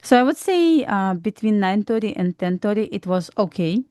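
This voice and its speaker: A woman from Belarus with a Russian accent, giving calm, matter-of-fact market commentary on a live trading stream. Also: mid-range voice, moderate pace, no room sound, studio quality. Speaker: trader co-host.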